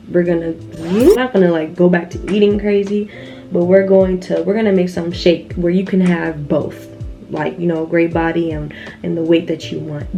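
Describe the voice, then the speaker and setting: A young woman with a soft-edged, mellow voice on the lower side talks casually to her viewers. Her delivery is informal and rambling, full of slang and "like". The audio is a little dull, with music playing underneath. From Ghanaian background. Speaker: YouTube vlogger. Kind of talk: casual chat to viewers, personal storytelling.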